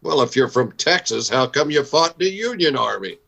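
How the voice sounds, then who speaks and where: A man's voice: medium pitch, very animated, brisk, gravelly, a bit bright, breathy edge, heavily acted. A man playing a stern, plain-spoken Old West town marshal in a radio Western. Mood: calm, questioning. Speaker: voice actor in radio show recreation.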